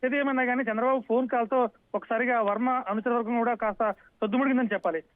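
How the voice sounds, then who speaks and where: A man reporting live for TV news as a field correspondent, unscripted, with halting starts and repeated phrases, over a phone line. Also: very high voice, fairly even intonation, smooth, dry recording. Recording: dull, dry phone line.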